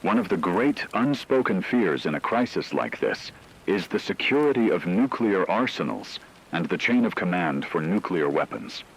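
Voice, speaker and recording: A man playing a calm, grave radio news anchor, reading a scripted report in a measured, formal, factual way. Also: sits low, slightly rough, exaggerated voice, poor audio.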